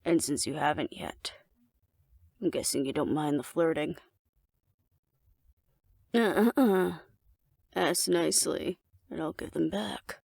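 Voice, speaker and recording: Woman playing a flirty, gravelly alpha werewolf woman. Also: deep voice, takes it slowly, long pauses, darkish tone, no room sound, studio quality, full character voice. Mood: flirty, teasing. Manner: teasing, coaxing, playfully domineering. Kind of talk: intimate scripted audio roleplay addressed to the listener.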